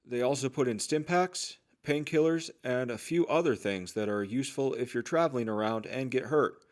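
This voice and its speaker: An eager small-town trader of the wasteland, played by a man, talks in obliging sales patter. His voice sits at an ordinary middle pitch, as acted for an audio drama about life after the apocalypse. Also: moderate pace, lightly acted. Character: chatty, obliging male general-store trader in a wasteland town. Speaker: voice actor playing a shopkeeper.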